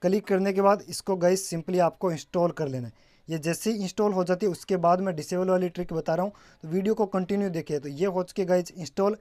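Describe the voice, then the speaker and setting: A man's voice: sits high, fast talker, dark tone. A man presenting a casual step-by-step tech tutorial, often addressing viewers as guys and mixing in English terms.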